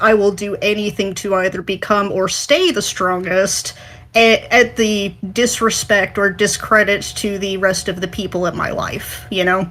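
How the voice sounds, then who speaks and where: A woman's voice: mid-range voice, fairly bright tone, low-quality recording. A woman chatting casually as a panelist, giving her opinions with emphasis. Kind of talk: casual chat.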